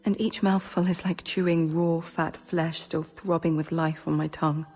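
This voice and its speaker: An actress in a radio drama playing a tormented, starving young novice confessing her struggle in an intense, breathy, husky monologue. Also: deep voice, steady tone, moderate pace, darkish tone. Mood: anguished, revolted. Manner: intense, anguished, intimate. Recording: long reverb with music underneath.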